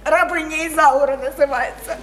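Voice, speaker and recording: A woman's voice: high-pitched, steady tone, normal speed, fairly bright tone. An actress playing a naive, odd, chatty young provincial woman in a radio play, rambling earnestly in simple folksy speech with hesitant fillers. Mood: earnest, gossipy. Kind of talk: theatrical radio play dialogue. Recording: echoey, noisy stage radio play recording.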